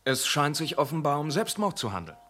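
An actor playing a methodical police inspector who calmly and patiently questions witnesses in a radio drama. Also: male voice, a little high, a little fast, soft background music.

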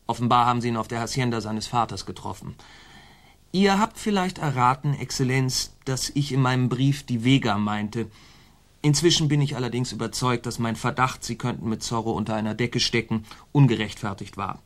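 A man's voice: medium pitch, brisk, close to an everyday voice. An actor playing a scheming officer in a German radio drama, reporting to his superior in a deferential yet sly, insinuating way.

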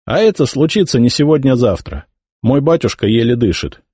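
A man's voice: mid-range voice, very animated, fairly quick, mellow, breathy edge, no echo, clean studio sound, pitched above the performer's own voice. A man voicing an arrogant young heir to the throne who mocks and threatens his old uncle. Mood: sneering. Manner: contemptuous, mocking. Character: arrogant young male emperor's heir, sneering. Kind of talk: audiobook character voicing.

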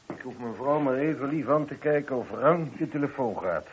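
A man's voice: medium pitch, lively intonation, normal speed, slight background noise, close to an everyday voice. An actor playing a dry, sardonic police inspector in his thirties, questioning firmly in a radio play. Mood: sardonic, brisk. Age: 30s. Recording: dull, lo-fi old radio recording.

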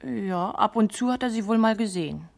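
An actress playing a meek young newlywed housemaid, hesitant, evasive and defensive, in a German radio crime play. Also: female voice, mid-range voice, fairly quick, creaky, darkish tone. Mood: guarded, polite.

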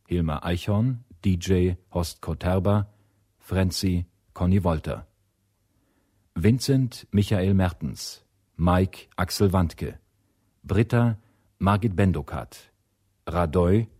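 Deep, slow-speaking male announcer. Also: warm tone, slightly rough. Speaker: radio play announcer. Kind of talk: scripted credits announcement, read formally.

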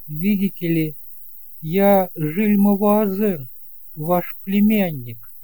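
A man's voice: high voice, slow, warm tone, no room sound, voice pushed up, low-quality recording. A man playing an earnest nineteen-year-old nephew, shy but proud, with a hesitant, courteous manner. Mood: hesitant, subdued.

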